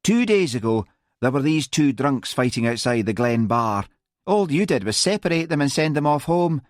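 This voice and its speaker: A whining young Scottish policewoman, acted by a male narrator in an audiobook, pitched up and swooping in a sing-song way. She is sour, accusing and full of contempt, in a close, dry recording. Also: big pitch swings, normal speed, no room sound, natural voice. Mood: self-righteous.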